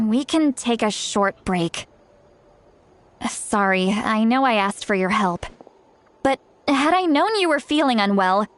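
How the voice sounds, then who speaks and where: A woman voicing a caring, upbeat young female adventurer in a game, who talks in a warmly reassuring and encouraging way. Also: on the high side. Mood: concerned. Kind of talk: game voice acting.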